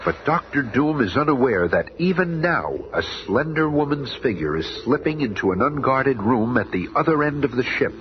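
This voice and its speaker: A man's voice: medium pitch, very animated, moderate pace, few pauses, noisy background, lightly acted. A man narrating a 1970s superhero radio serial in a portentous, suspenseful style. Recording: dull vintage radio recording. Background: dramatic music.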